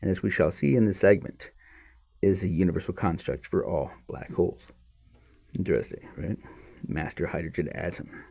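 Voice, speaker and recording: Male podcast reader, mellow and husky; emphatic, halting delivery of science text, with muttered asides. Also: sits low. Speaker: podcast host reading a book aloud. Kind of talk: reading aloud from a speculative science handbook with offhand asides.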